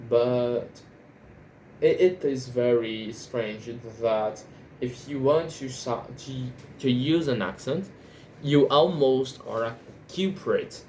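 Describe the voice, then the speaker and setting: A Brazilian man speaking English as a second language, his first being Portuguese, in an unscripted monologue, often halting and restarting his sentences. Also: medium pitch, a little mellow, slight background noise, poor audio. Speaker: language learner vlogger.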